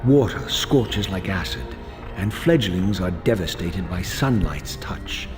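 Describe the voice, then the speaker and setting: An actor playing a proud, bitter resurrected vampire wraith, narrating a video game in a solemn, theatrical, formal manner. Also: male voice, low voice, lively intonation, takes it slowly, music in the background. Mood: grim, measured.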